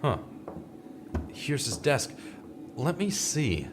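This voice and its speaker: A man playing a skeptical, dogged, brooding investigator in a vintage-style radio mystery, with a questioning, deliberate, dramatic delivery. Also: medium pitch, wildly swooping pitch. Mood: puzzled, searching. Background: music.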